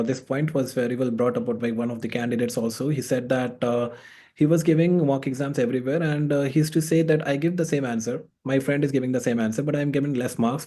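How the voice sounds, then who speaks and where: A man's voice: mid-range voice, mellow. A man hosting a conversational interview as a course mentor, giving exam advice and repeating his key points with emphasis.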